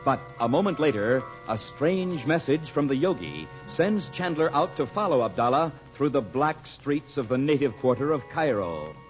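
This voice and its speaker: A man's voice: mid-range voice, very animated, normal speed, hardly any pauses. Dramatic old-time radio announcer, man. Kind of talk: 1930s radio serial narration. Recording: dull old radio recording with music.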